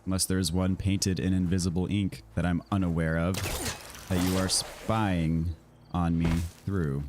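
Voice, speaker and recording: Slightly raspy, low-pitched American male streamer. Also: little pitch movement, unhurried, noisy background. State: scratchy throat. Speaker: video game streamer. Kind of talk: casual livestream chat answering viewers while gaming.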